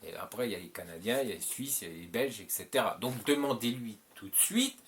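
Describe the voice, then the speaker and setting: Low-voiced man giving rambling advice. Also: expressive, quick pace, a little hiss or hum. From French-speaking. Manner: rambling, repeats himself, many filler "euh"s. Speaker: channel host giving advice. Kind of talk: casual advice monologue.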